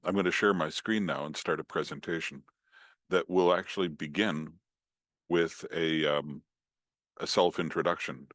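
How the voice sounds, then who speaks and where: Very deep-voiced Scottish man giving a talk. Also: a bit bright, dry recording, clean studio sound. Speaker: guest speaker. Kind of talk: online talk. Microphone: computer mic on a video call.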